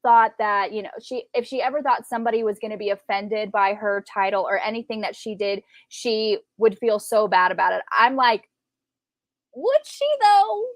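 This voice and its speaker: A woman co-hosting a casual book discussion, animated and given to repeating words for emphasis. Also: mid-range voice, normal speed, no room sound. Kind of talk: casual live discussion of classic books.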